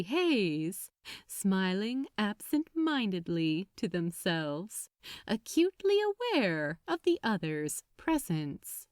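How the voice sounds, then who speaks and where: A woman reading a story aloud as scripted narration, in a measured, expressive manner. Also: mid-range voice, no room sound, studio quality. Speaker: audiobook-style fan fiction narrator.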